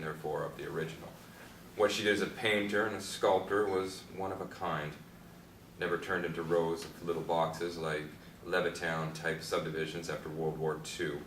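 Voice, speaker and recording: A man in a stage play reading, playing an aging, pedantic Beat architect who tells stories in a measured, lecturing way. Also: low-pitched, a bit bright. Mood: wistful. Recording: echoey, noisy room from far off.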